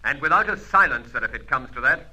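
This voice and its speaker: A sharp, menacing rival spy. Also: male voice, mid-range voice, expressive, fast, rough recording. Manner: coolly threatening, clipped. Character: smooth menacing rival agent, cool and taunting. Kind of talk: old-fashioned radio spy serial acting.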